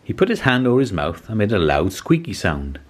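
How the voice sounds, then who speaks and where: Deep, highly expressive male storybook reader. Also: brisk. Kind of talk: scripted children's story narration.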